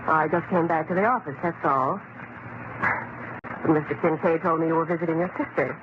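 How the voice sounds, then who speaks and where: An earnest, uneasy secretary played by a woman in a vintage radio suspense play. She speaks hesitantly and sincerely. The recording is dull and clearly noisy. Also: low voice, fairly quick, lightly acted. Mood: uneasy, suspicious. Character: earnest, uneasy young female office secretary. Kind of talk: old-time radio drama acting.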